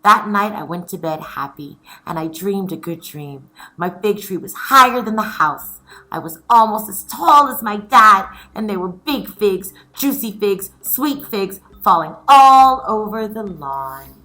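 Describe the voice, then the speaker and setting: A woman teacher reading a children's story aloud and discussing it in an expressive style. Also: medium pitch, a little slow.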